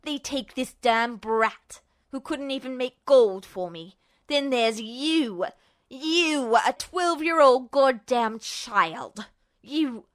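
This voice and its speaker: A woman's voice: high-pitched, lively intonation, slow. A woman voicing a smug, bitter male villain in an audio story, cold and contemptuous, with hissing, snarling delivery. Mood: bitter resentment.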